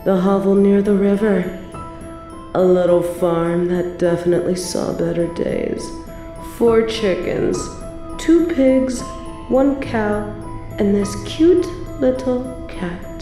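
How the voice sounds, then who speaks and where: A woman's voice: deep voice, reverberant. A woman playing an evil, possessive queen in an audio drama, theatrical and menacing with mock tenderness. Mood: taunting. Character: evil obsessive possessive queen, cruel, gloating, sadistic. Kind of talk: scripted audio roleplay drama, addressed to the listener.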